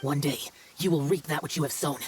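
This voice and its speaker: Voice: medium pitch, fairly even intonation, brisk, slight background noise, close to an everyday voice. An actor playing a stern, aloof, youthful immortal demon slayer in a video game, speaking solemnly and tersely. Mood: stern, condemning.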